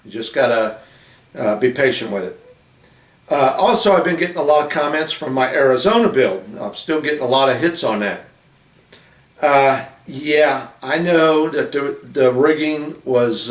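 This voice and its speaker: Man, model ship hobbyist, rambling casual chat, mid-pitched, roomy echo, off-mic. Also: a bit bright, distant mic. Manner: rambling, many fillers and restarts. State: losing his voice, coughing. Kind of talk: casual talk to camera. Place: small apartment room. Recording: echoey room from off-mic.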